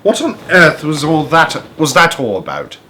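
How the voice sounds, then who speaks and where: A man's voice: a little high, huge pitch swings, moderate pace, heavy background noise, voice pushed up. A man voicing a small, plucky storybook girl who is inquisitive, persistent and properly spoken. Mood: curious.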